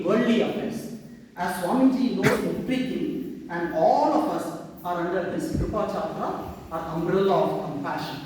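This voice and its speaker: High-pitched South Indian man in echoing hall. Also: darkish tone. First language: Tamil. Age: middle-aged. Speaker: devotee giving a memorial talk. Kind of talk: prepared devotional speech read aloud. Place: large reverberant ashram hall. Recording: very echoey hall, distant sound.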